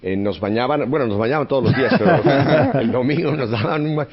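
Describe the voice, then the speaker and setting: A man in his seventies from Mexico City, a podcast guest telling stories about his childhood in a relaxed, chatty way with easy laughter. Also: medium pitch, fairly quick, slightly rough. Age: 70s. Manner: chatty, warm reminiscing with frequent laughs. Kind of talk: relaxed storytelling in conversation. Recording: slightly muffled podcast audio.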